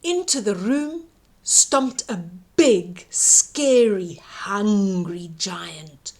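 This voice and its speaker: A woman reading a bedtime story aloud to children, dramatically, with emphatic drawn-out words and hushed suspense. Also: medium pitch, lively intonation.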